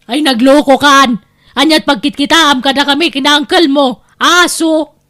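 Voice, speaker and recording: A woman's voice: sits high, moderate pace, sharp tone. A woman playing a sly, scolding aunt in an audio drama, with an Ilocano accent. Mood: scolding, startled.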